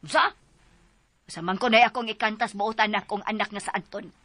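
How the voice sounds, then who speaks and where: A woman's voice: medium pitch, fairly even intonation, brisk, pushed and bright, no echo. A woman with a Filipino accent playing an exasperated, worried mother and mistress of the house in a radio melodrama, emphatic and scolding. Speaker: radio drama actor. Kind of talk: melodramatic radio drama acting.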